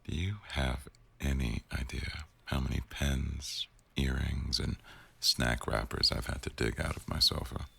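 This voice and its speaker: A man playing a teasing, bossy but doting newsroom boss in an intimate boyfriend roleplay audio, with a wry, unhurried delivery. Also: rumbling low, gravelly, a bit bright, husky, heavily acted.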